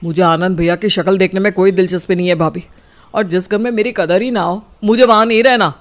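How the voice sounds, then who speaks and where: A man's voice: high voice, steady tone, fairly quick, fairly bright tone. A man playing a sulky, stubborn younger brother in a cartoon family drama. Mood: indignant.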